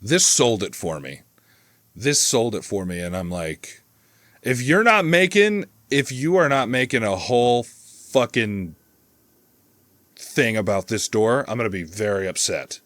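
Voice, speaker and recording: A man's voice: fairly low pitch, lively intonation, a little slow, a bit bright, no room sound. A man giving casual, rambling and enthusiastic reaction commentary as a video host, swearing freely. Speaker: video host reviewing horror channels.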